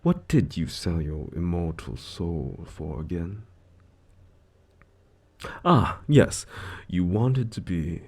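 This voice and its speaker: An actor playing a suave, smug devil who deals in souls, speaking with theatrical, condescending mock courtesy in a scripted audio roleplay. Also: male voice, deep voice, creaky, a little mellow, breathy edge, heavily acted. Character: suave, smug male devil, menacing soul-contract broker in Hell.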